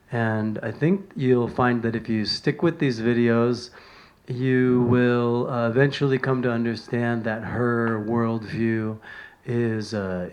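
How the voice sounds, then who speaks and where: A man from San Francisco giving a self-recorded talk from notes, earnest and halting, with many ums and uhs. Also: mid-range voice. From San Francisco, California. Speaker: video lecturer on spiritual writings. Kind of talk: casual talk from bullet notes.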